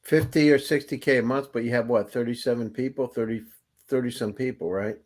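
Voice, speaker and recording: A man's voice: mid-range voice, fairly even intonation, fairly quick, a little mellow. A man on an investor panel pressing a founder with blunt, direct questions about money and plans. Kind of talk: probing business questions.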